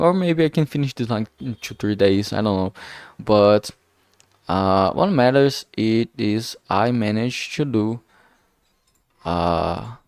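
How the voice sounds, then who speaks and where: Slow, halting man in casual reflection. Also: on the low side, darkish tone, clear, resonant tone, no echo, clean studio sound. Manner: halting, searching for words. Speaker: indie game developer streaming. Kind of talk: casual reflective stream talk.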